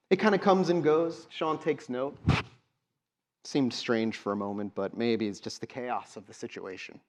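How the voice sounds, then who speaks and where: A man's voice: mid-range voice, measured pace. Man running a live tabletop game. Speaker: game master. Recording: live convention stage recording.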